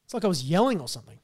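An Australian man co-hosting a podcast in casual chat, hoarse after losing his voice, swearing and telling stories animatedly. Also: high-pitched, darkish tone. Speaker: podcast co-host.

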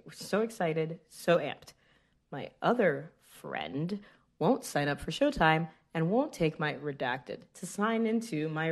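A woman reading listener emails aloud as a guest, in a theatrical, gushing dramatic style. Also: sits low.

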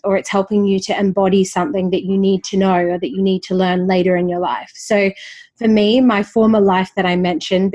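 A woman's voice: mid-range voice, darkish tone. A woman in her thirties with an Australian accent worn down by life in London, a confidence coach chatting openly as a podcast guest while getting over a slight cold. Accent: Australian, softened by years in London. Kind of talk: casual interview chat.

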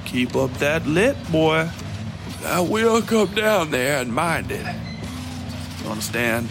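Male narrator as a stern older man, raised pitch, slow commands, rustic wording, horror audio story, loud music underneath. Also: very animated, vocal fry, heavily acted. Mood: stern warning. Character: stern older male train passenger with quiet authority.

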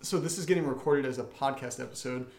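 A man's voice: mid-range voice, fast talker, darkish tone. Male podcast host in an echoey room. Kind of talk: casual chat, reading listener questions.

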